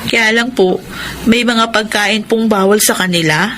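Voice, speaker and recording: A Filipino actress playing a humble, obedient young housemaid and dutiful daughter, polite and earnest, in a radio drama. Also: female voice, medium pitch, unhurried, a bit bright, slight background noise, lightly acted, poor audio. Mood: eager, respectful. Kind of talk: Tagalog radio drama acting.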